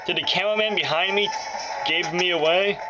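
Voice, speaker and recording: A man's voice: high-pitched, fairly quick, poor audio. A man giving casual, jokey let's play commentary, often muttering and exclaiming to himself as he plays. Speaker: gaming video host. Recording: loud game music over the voice. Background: handheld game music and sounds.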